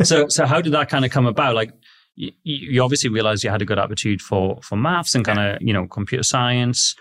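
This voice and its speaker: A man's voice: medium pitch, fast, a bit bright. A man hosting a podcast interview in a friendly, rambling way with lots of fillers. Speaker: podcast host. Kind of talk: casual interview chat.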